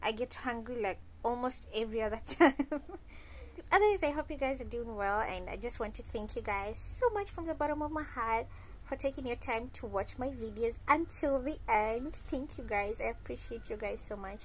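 A woman's voice: a little high, animated, normal speed, slight background noise, low-quality recording. A young woman chatting casually to her viewers while lying in bed, filming on a phone held close. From Kenya. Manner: chatty, addresses viewers as guys. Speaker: lifestyle vlogger. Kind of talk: casual vlog chat to camera.

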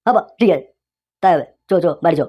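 A woman's voice: fairly low pitch, animated, quick pace, husky. A woman voicing a hot-tempered, exasperated young cartoon husband who scolds and blusters. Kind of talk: comic cartoon dialogue. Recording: dull lo-fi sound.

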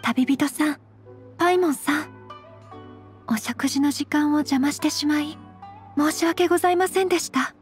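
A high, soft young lady of noble birth, played by a woman for an anime game. She is earnest, shy and impeccably polite, speaking formally over faint background music. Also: moderate pace, pauses often, lightly acted. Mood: polite, sincere. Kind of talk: Japanese anime game voice acting.

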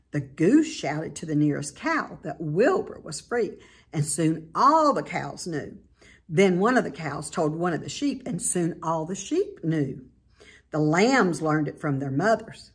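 A middle-aged woman with a slightly husky voice reads a children's story aloud expressively, like a teacher. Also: low voice. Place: indoor room at home by a staircase.

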